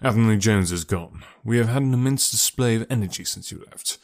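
A man voicing a cool, brisk gentleman detective reporting in a matter-of-fact way. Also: fairly low pitch, dry recording, studio quality, lightly acted.

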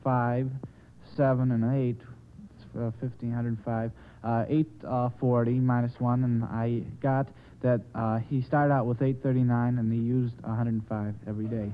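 A male contestant on an old radio quiz reasons aloud in a hesitant, step-by-step way. His voice sits at a medium pitch. The recording is narrow and tinny, from a 1950s broadcast. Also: little pitch movement, unhurried, slight background noise.

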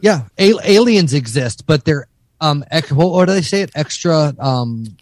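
A man's voice: on the high side, lively intonation, moderate pace, no room sound. A man co-hosting a podcast with a nasal voice, talking in blunt, crude, joking banter. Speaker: podcast co-host. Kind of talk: casual profane banter.

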